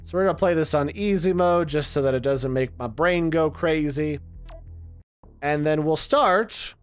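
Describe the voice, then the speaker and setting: A man's voice: fairly high pitch, measured pace, smooth. A man giving casual, chatty and opinionated commentary as the host of a game preview. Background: music.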